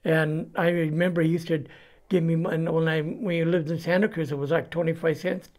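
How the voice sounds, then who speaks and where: A man in his seventies with a Californian American accent tells his life story in an interview, plain-spoken and halting. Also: a little high, flat delivery, a little mellow. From California, United States. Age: 70s. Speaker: interview guest giving testimony. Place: indoors before a cloth backdrop.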